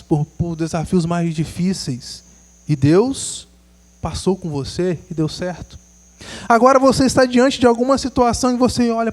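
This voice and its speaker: A man's voice: high-pitched, a little mellow. A man preaching a sermon, earnest and exhorting, asking his listeners rhetorical questions.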